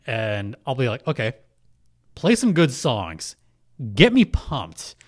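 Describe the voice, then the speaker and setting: A man, an arena announcer, chatting as a guest on a podcast in an upbeat, animated way. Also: medium pitch, slow pace. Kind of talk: casual chat.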